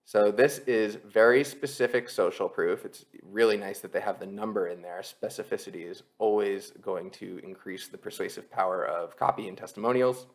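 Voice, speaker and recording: A man with a fairly low voice gives an explanatory tutorial. He sounds like an instructor talking over a screen share, conversational and going step by step. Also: moderate pace, hardly any pauses. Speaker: copywriting instructor, presenter.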